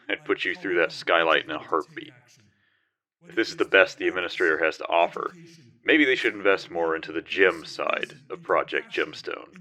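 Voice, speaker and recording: A man's voice: sits low, sharp tone, heavily acted. A man playing a stern, protective, sarcastic military operative in a sci-fi audio drama. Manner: commanding, biting, sarcastic.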